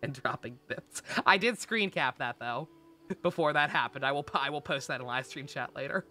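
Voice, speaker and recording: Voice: medium pitch, normal speed, bright, forceful tone, faint music behind. A game streamer chatting casually over gameplay on a live stream, sarcastic, joking and sweary.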